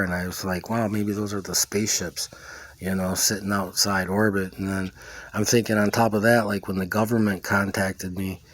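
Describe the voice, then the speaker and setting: A man's voice: low voice, a bit bright. A man recounting a personal experience in a casual, rambling way, often dropping in "you know", "like" and "man". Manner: informal, peppers talk with "you know", "like" and "man". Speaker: vlogger recounting own experience.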